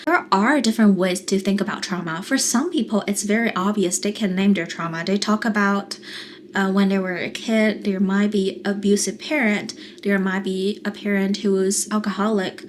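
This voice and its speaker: A young adult woman with a Mandarin Chinese accent, a therapist talking calmly and earnestly to camera, explaining things in a coaching style. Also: mid-range voice, normal speed, slight background noise. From China. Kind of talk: calm coaching monologue to camera.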